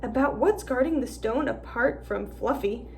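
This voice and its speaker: A woman's voice: fairly high pitch, animated, normal speed, gravelly, darkish tone, a little hiss or hum. A woman voicing an earnest schoolboy. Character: earnest young schoolboy wizard.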